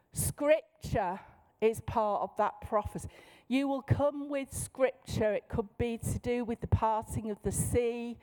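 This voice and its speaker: Higher-voiced Mancunian woman teaching a workshop. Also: warm tone, poor audio. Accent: Manchester. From Manchester, England. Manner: light-hearted, down-to-earth, checks in with listeners. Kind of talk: informal teaching talk to a group.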